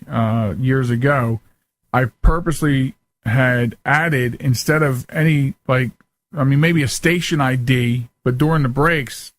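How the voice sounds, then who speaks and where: A man hosting a casual live call-in radio show, talking haltingly and often repeating his words. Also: medium pitch.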